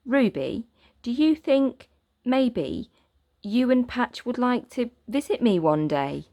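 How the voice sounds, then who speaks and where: A woman voicing a lonely, kind young boy in a children's storybook, speaking gently and earnestly. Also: on the high side, sing-song, slow, pitched above the performer's own voice, exaggerated voice. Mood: hopeful, wistful.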